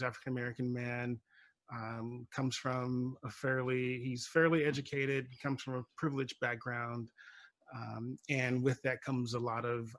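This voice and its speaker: A man on a panel explaining his work casually, in a halting, measured way with many pauses. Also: mid-range voice, a bit bright, no room sound. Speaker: playwright on a panel.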